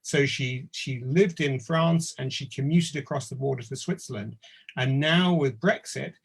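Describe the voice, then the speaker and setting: An older professor speaking on a panel, halting often with ums and uhs. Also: male voice, mid-range voice, creaky, husky. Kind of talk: panel discussion.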